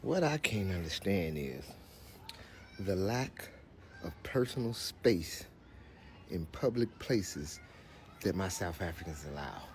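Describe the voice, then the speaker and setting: A man's voice: sits low, sing-song, a little hiss or hum. A man in a comedic rant, exasperated and drawing out his words for emphasis. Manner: exasperated ranting, drawn-out emphasis. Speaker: creator of a reacted clip.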